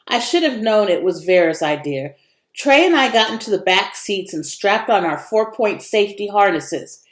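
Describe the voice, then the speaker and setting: A woman reading a children's story aloud as expressive narration, with varied intonation. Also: medium pitch. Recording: echoey room.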